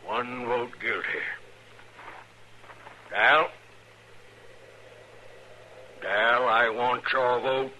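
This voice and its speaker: An actor playing a severe, mad middle-aged frontier preacher in an old radio western, pronouncing solemn biblical judgment. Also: male voice, medium pitch, fairly even intonation, extremely slow, sharp tone, full character voice. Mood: stern judgment. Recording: noisy old radio recording.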